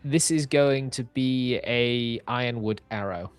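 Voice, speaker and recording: A man with a medium-pitched voice plays a tabletop roleplaying game. He thinks out his moves aloud in casual talk, over faint background music. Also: unhurried, clear, resonant tone.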